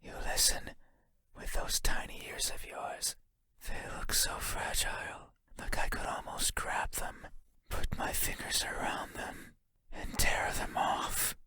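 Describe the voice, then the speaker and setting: A man voicing a lonely, faceless horror game entity, menacing and mournful, in a breathy whisper with a slow, halting, intimate delivery. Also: pushed and bright. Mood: menacing, teasing. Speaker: voice actor.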